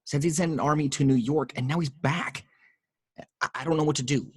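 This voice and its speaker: Man acting Tony Stark, husky sardonic hero. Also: fairly high pitch, fairly even intonation, a little fast. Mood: tense, stubborn. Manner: conversational, dry. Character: Tony Stark, stubborn, weary, sardonic male superhero. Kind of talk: amateur table read of a film script.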